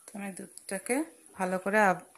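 A woman's voice: medium pitch, level tone, normal speed, very noisy. A young woman hosting a home cooking video, chatting through each step of a dessert recipe with fillers and asides. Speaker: cooking channel host. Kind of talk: casual cooking demonstration voice-over. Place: home kitchen at a gas stove. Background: frying and sizzling from the pan.

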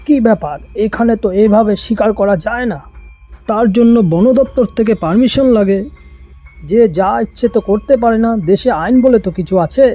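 A man voicing a stern, questioning younger gentleman in an animated cartoon horror story. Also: sits high, moderate pace, faint music behind. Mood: stern, alarmed. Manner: firm, questioning.

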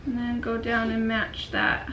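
A young woman in her twenties with a cold, chatting casually through a makeup tutorial in a dim bedroom, often trailing off into quiet murmurs. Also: a little high, monotone, unhurried, highs cut off, a little hiss or hum. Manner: chatty, filler-heavy, drifts into quiet murmurs while working. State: sick and sniffly. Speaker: beauty vlogger.

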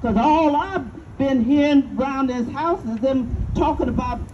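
A woman's voice: high voice, normal speed, dark tone, close to an everyday voice. A woman playing a suspicious, insistent enslaved Southern woman in a live dramatic reading, questioning in folksy dialect. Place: outdoor stage in open air. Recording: muffled, noisy outdoor audience recording.

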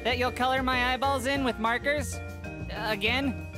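A woman's voice: a little high, steady tone, measured pace, music in the background, natural voice. Woman as a hapless, whiny teenage boy. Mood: sullen. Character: hapless bullied teenage boy, meek and earnest. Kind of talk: animated comedy game acting.

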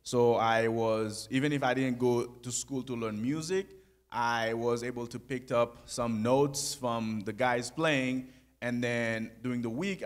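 A young man telling his life story in a church sanctuary, speaking softly into a handheld mic with pauses and easy laughs. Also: mid-range voice. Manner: soft-spoken, halting, laughs easily. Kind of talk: conversational testimony.

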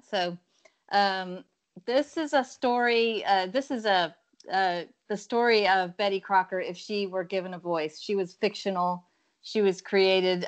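A middle-aged woman poet introducing and reading her own work over a video call. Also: on the low side. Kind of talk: casual introduction and theatrical poetry reading. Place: indoor room with bookshelves.

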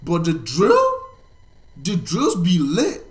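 A young man in his twenties reacting to music from his bedroom, loud and excitable, full of slang, swinging between shouting and muttering. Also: high-pitched, takes it slowly. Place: bedroom, indoors, gaming chair.

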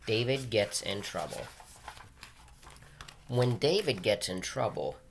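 A man's voice: fairly low pitch, very animated, takes it slowly, gravelly, a little hiss or hum. A middle-aged man, a teacher talking to children in a plain, earnest, emphatic way, recorded on a webcam in a small room. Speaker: teacher and school administrator reading aloud to pupils. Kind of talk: read-aloud and plain advice to children. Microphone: webcam at desk distance.

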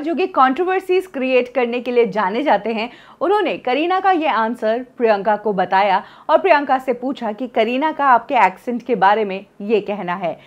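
Woman in her 30s, gossip presenter, very animated pitch, slightly high voice, a bit dull lo-fi sound. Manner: lively storytelling delivery, mixing in English phrases. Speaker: entertainment news presenter. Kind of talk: scripted celebrity gossip presentation.

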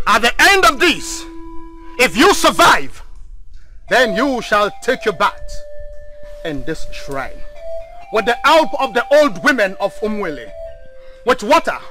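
A stern village shrine priest, with a Nigerian accent and a high voice that swings widely. He pronounces like a pompous orator in a film drama, with clear music behind him. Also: pushed and bright, exaggerated voice, low-quality recording.

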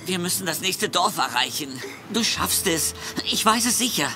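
An actor playing a loyal, desperate companion on the run in a German audio drama, urgent and pleading. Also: male voice, high-pitched, animated, normal speed. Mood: determined. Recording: very loud music behind.

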